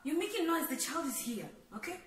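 A woman's voice: sits high, sing-song, fairly quick. A woman playing a defiant wife who scolds and nags her husband with questions. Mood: scolding. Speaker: wife in a skit. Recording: echoey room, far from mic, loud noise.

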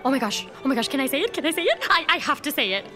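An actress playing an excitable young woman, a gleeful, pleading younger sister who gushes and repeats herself in a podcast romcom drama. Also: high voice, rapid-fire, faint music behind, full character voice. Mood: giddy excitement. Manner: squealing, gushing, repeats herself eagerly.

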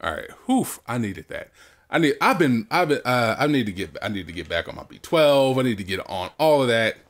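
A man's voice: medium pitch, sing-song, brisk. A man hosting a let's play in casual banter, repeating his phrases and getting playfully defensive. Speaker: let's play host, playing the game. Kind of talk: casual gaming commentary, banter with co-host. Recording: music behind the voice. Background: video game music.

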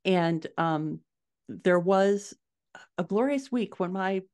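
A middle-aged woman answering interview questions conversationally, telling stories in an animated way on a video call from a room at home. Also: fairly low pitch, slow, clean tone. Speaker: podcast guest, indie author. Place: small furnished room at home, indoors.

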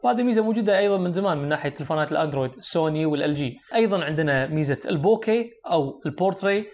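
A man's voice: fairly high pitch, lively intonation, rapid-fire, darkish tone. A young man with a Kuwaiti Arabic accent, reviewing a phone and explaining its features straight to viewers, seated indoors close to the camera. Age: young adult. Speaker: tech reviewer. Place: small indoor room, seated at a desk.

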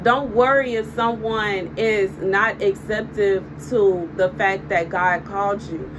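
A woman with a Southern country accent speaks to her phone camera from an indoor room. Her delivery is emphatic and repetitive as she gestures, and a clear background hum sits under her voice. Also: medium pitch, fairly even intonation, slow. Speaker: prophetic video host. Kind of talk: casual spoken prophetic message. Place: indoor room before window blinds. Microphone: phone camera on a desk. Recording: clear room noise behind.

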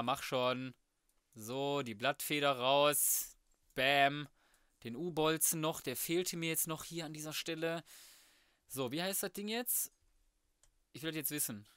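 Slangy man, slightly high voice. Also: unhurried, long pauses, bright, forceful tone, smooth, dry recording, studio quality. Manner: colloquial, flippant slangy muttering to himself. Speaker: gaming video host. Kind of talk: casual let's play commentary. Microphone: close microphone, voice-over.

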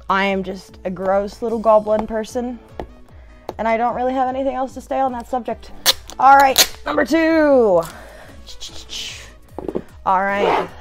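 A young woman with a fairly high voice chats casually to the camera in her living room. She is playful and bubbly, tossing in exclamations and sing-song asides as she reviews a product. Faint music plays behind her. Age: young adult. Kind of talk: casual unboxing chat to camera.

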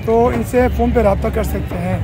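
A man's voice: extremely high pitch, steady tone, normal speed, over music. A man with a Pakistani accent giving an enthusiastic, repetitive vlog commentary and interviewing sellers. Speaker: vlogger and interviewer. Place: busy outdoor used-vehicle market. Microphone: handheld camera or phone. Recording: noisy open-air market with crowd.

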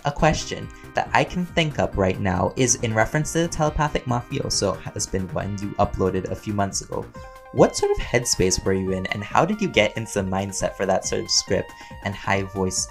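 A man chatting casually and playfully as he answers fan questions, rambling along in an expressive way. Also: lowish voice, moderate pace, vocal fry, soft background music. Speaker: voice actor and audio roleplay creator answering fan questions.

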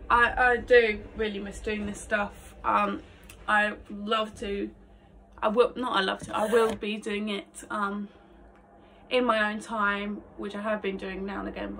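A woman's voice: medium pitch, pushed and bright, slight background noise, poor audio. A woman in her twenties talking casually and self-deprecatingly to camera in an informal vlog style. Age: 20s. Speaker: aspiring actress. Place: small attic bedroom, indoors. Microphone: phone camera a short distance away.

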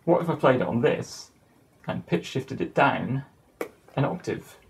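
A man's voice: medium pitch, lively intonation, a little slow, vocal fry, slight background noise. A middle-aged man giving a casual instructional talk to camera as a musician in a small home studio. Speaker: musician and songwriter presenting.

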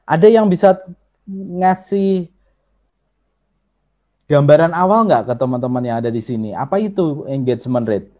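A man's voice: a little high, expressive, normal speed, pauses often, a little mellow. A man with a Javanese accent teaching an online workshop over a video call, explaining patiently and often addressing his listeners directly. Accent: Javanese Indonesian. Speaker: workshop instructor.

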